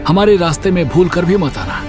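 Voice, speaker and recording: A stern veteran training commander, acted out by a male narrator, scornful and sharp-tongued. His pitch sits fairly high and moves a lot as he barks reprimands in a military audio drama, with music playing underneath. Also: very animated, a little fast. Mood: stern, commanding. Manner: harsh, sarcastic, barking reprimands.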